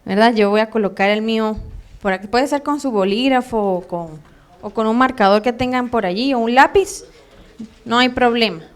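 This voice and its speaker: A Venezuelan woman with a Venezuelan accent teaching a church training class live, chatty and hesitant with many fillers and soft laughs. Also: on the high side, moderate pace. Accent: Venezuelan Spanish. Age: adult, has a son. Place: church meeting room.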